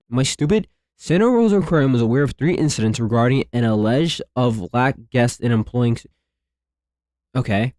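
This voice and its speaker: A man giving casual, rambling commentary as a host, slangy, repeating himself and thinking out loud. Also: medium pitch, no room sound, clean studio sound. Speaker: theory video host reacting to horror series.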